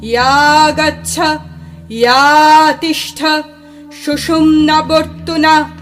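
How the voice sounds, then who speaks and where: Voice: sits high, little pitch movement, drawn-out words, fairly bright tone, faint music behind, full character voice. A performer playing a solemn ritual chanter, intoning a mantra in an audio drama. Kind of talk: slow Sanskrit-style mantra chanting.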